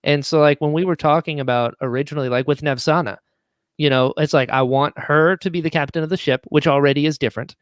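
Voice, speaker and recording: A man's voice: fairly high pitch, steady tone, fast, clean tone, no room sound. A man speaking as a podcast guest, telling anecdotes informally and acting out bits of dialogue. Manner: informal, acts out quoted dialogue. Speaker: podcast guest, audio drama writer. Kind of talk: casual chat, animated anecdotes.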